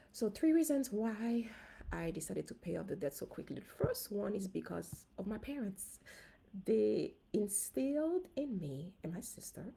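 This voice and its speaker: A woman from Haiti with a Haitian accent, a dentist giving an animated, casual livestream talk to her viewers on a phone. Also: medium pitch, creaky, slightly rough, poor audio. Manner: animated, talks straight to viewers. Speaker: dentist and finance coach.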